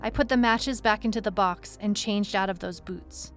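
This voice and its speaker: A woman's voice: mid-range voice, moderate pace, natural voice. Determined female detective, played by a woman, mid-range voice, first-person audio drama narration with music underneath. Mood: brooding, reflective. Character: determined female detective telling her own case. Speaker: lead narrator.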